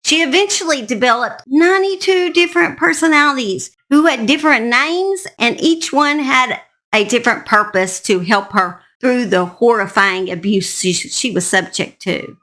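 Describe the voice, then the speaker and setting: Bright-voiced woman telling true crime. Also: on the high side, moderate pace. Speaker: host narrator. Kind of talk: true-crime storytelling, conversational narration.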